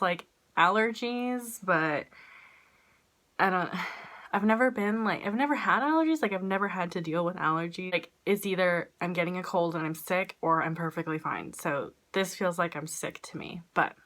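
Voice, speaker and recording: Bright-voiced woman with a stuffy nose. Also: on the low side. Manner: rambling, self-deprecating, lots of "like" and "um". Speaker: vlogger. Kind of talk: casual chatty vlog. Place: small room indoors. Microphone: phone camera at arm's length.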